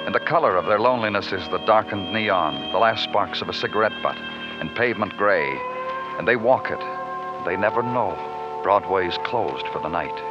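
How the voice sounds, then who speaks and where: An actor playing a terse, brooding big-city police detective in a 1950s radio crime drama, clipped and deadpan. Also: male voice, fairly low pitch, moderate pace, close to an everyday voice. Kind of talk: 1950s radio crime drama, hard-boiled narration and dialogue. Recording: dull old radio recording with music.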